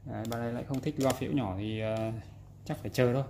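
Male repair presenter, casual and demonstrative, medium pitch, slightly noisy indoor recording. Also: fairly even intonation, fast, gravelly, mellow. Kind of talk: casual hands-on repair explanation. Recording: background noise, room ambience.

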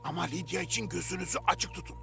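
A man playing an eccentric, excitable old grandfather inventor in a dubbed cartoon, with a creaky voice. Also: high voice, quick pace, soft background music, exaggerated voice. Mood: wary, indignant. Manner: excitable, animated, muttering to himself. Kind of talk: animated series dub acting.

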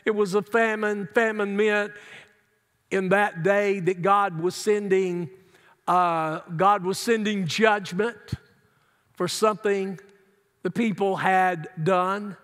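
A man's voice: high-pitched, fairly even intonation, slow pace, a bit bright. A middle-aged male pastor preaching an earnest, emphatic sermon from his seat in a large church sanctuary. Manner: earnest, emphatic preaching with wide hand gestures. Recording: long church hall reverb.

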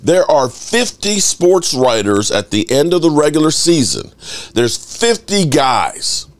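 Hoarse male sports podcaster, thirties, home studio, desk mic, slow and animated. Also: fairly high pitch. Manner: animated, profane, drawn-out delivery. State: slightly hoarse. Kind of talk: fired-up fan commentary. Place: home studio room full of memorabilia. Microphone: desk microphone.

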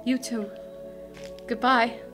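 A woman acts a young elf archer in a fantasy audio drama. Her voice is on the high side, plain-spoken and earnest, over soft music. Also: fairly even intonation, slow pace. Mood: sincere. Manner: matter-of-fact, sincere.

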